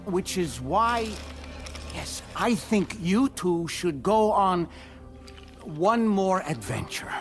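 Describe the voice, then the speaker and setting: A man's voice: high voice, very animated, slow, faint music behind, heavily acted. An actor voicing an eccentric, kindly living puppet man in a video game cutscene, speaking in a formal, theatrical way. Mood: sympathetic. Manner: theatrical, formal, easily affronted.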